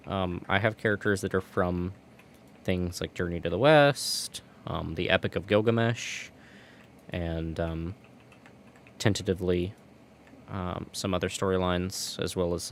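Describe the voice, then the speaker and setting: Low creaky-voiced guy rambling on a game stream at home, faint hiss behind. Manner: rambling, restarts words often. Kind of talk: casual chat while gaming.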